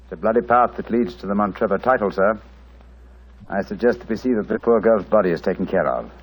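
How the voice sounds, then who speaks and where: A man playing a composed, shrewd gentleman detective, formal and precise, in old radio drama style. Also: lowish voice, fast, slightly rough, poor audio. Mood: stern, accusing. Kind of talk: 1940s radio drama acting.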